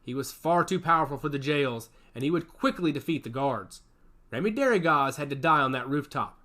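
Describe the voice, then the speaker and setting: A man's voice: on the high side, lively intonation, fairly quick, bright, forceful tone. A man reading a science fiction action story aloud as its narrator, with a dramatic storytelling delivery.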